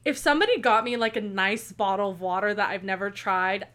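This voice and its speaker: A woman's voice: mid-range voice, brisk, fairly bright tone. A woman in her twenties from Oklahoma, co-hosting a casual podcast in a chatty, upbeat way with many fillers. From Tulsa, Oklahoma. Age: 20s. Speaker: podcast co-host. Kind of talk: casual chat.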